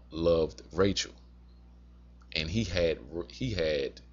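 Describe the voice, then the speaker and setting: A man with an extremely deep voice gives informal religious advice. He talks haltingly, circling back to repeat his cautions like a teacher addressing his flock. The recording is dull and muffled. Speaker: religious teacher advising men. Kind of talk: informal sermon-like advice talk.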